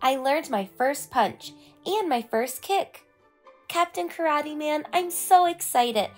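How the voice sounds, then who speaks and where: Storybook role: woman as an excitable young martial arts pupil, a boy, proud and earnest, high voice, music underneath. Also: takes it slowly. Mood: excited, earnest. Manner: eager, proud, explaining earnestly. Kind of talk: children's storybook read-aloud.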